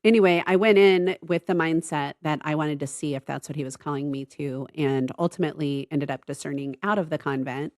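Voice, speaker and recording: A woman in her fifties with a low, deep voice, chatting casually on a family podcast. She tells stories loosely, backing up to fix details. The sound is dry and close. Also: a little fast, few pauses, darkish tone, dry recording. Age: 50s. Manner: rambling storytelling with self-corrections. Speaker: podcast co-host, mother.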